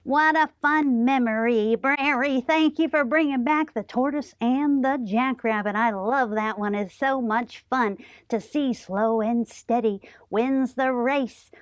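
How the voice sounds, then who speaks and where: A woman with a high voice hosts a children's show, talking slowly and gushingly as if to little ones. The recording is dry and a little dull. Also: dry recording. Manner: gushing, simple child-directed talk. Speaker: children's library story time host. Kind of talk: chatting to puppet friends for children.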